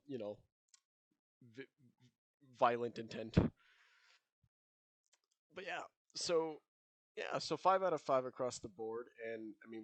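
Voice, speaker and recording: A young man co-hosting a casual book podcast, talking in a rambling way with lots of fillers and frequent laughs. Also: medium pitch. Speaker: podcast co-host.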